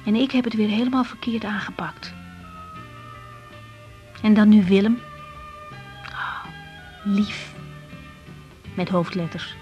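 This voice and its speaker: A woman's voice: mid-range voice, takes it slowly, pauses often, muffled recording, faint music behind, lightly acted. An actress with a Netherlands Dutch accent playing an insecure, outspoken young woman doctor in a radio drama. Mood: troubled. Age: young adult. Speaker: lead actress. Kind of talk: 1980s radio drama acting.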